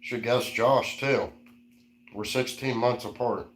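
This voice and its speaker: A man's voice: mid-range voice, steady tone, slow pace, fairly bright tone, echoey room, a little hiss or hum. A man in his forties co-hosting a casual live-stream chat, easygoing and reading out viewer comments. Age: 40s. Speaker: co-host.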